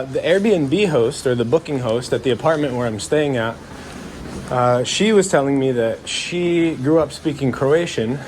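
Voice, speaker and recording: A man's voice: medium pitch, darkish tone, slight background noise. A man vlogging in a chatty, easygoing way while walking through old town streets outdoors, talking to the camera with plenty of fillers. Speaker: travel vlogger.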